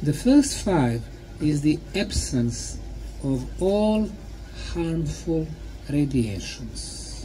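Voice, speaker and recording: A man lecturing in short, emphatic phrases, pausing for an interpreter, with a deliberate, didactic manner. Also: a little high, big pitch swings, warm tone, a little hiss or hum, poor audio. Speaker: lecturer and guide.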